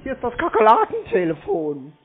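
Sarcastic man with wildly swooping pitch. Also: wildly swooping pitch, moderate pace, slightly rough. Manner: sarcastic, provoking, putting on voices. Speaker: prank call recipient baiting phone scammers. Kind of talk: mocking prank phone call. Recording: narrow phone-like sound.

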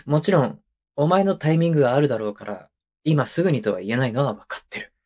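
A man acting as an affectionate young husband in an intimate audio drama, with a mid-pitched voice. He coaxes and teases in a casual, boyish, slightly hesitant way, clingy and childlike, close to a binaural microphone. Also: animated, fast talker, darkish tone, close to an everyday voice. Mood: earnest, tender. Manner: coaxing, teasing, casual and hesitant. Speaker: voice actor. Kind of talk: intimate ASMR situation voice drama.

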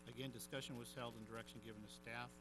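A man's voice: mid-range voice, little pitch movement, fast talker, heavy background noise. A man giving a city attorney's closed-session report in a matter-of-fact tone. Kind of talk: formal legal report.

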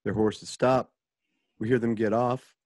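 A man's voice: on the low side, steady tone, measured pace, darkish tone, no room sound, clean studio sound. A man reading stage directions as the narrator of a scripted radio play. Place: home, on a group video call.

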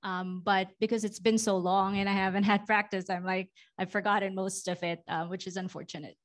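Filipino-born woman, 40s, conference panel guest, thoughtful and halting. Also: mid-range voice, flat delivery. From Philippines. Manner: warm, reflective, many ums. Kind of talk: fireside chat on stage. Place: conference stage before a live audience. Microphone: handheld mic.

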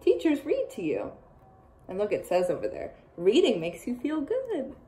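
A young woman teacher reading a picture book aloud to children, expressive and full of playful asides. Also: fairly high pitch, moderate pace, soft background music. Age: young adult.

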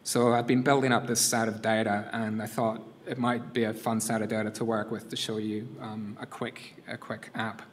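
A middle-aged man with a non-North American accent, giving a casual, halting live coding demo at a conference lectern, with many fillers and restarts. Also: on the low side, flat delivery, a little hiss or hum. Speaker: conference workshop presenter. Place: conference room. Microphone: lectern mic.

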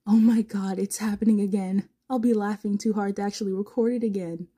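A woman voicing an excitable, easily amused husband and father. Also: mid-range voice, a little fast, breathy edge, lightly acted. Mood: gleeful.

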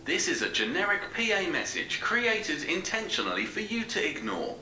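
A man reading a recorded public address announcement, played back through loudspeakers. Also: sits high, big pitch swings, moderate pace. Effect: played back through speakers. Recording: echoey, noisy loudspeaker playback in room.

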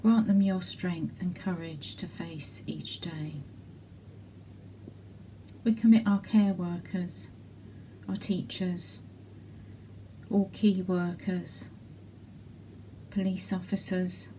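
A woman leading intercessory prayers in a measured way, pausing between short petitions. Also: lowish voice, fairly even intonation, mellow, a little hiss or hum, low-quality recording. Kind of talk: slow spoken intercessory prayer.

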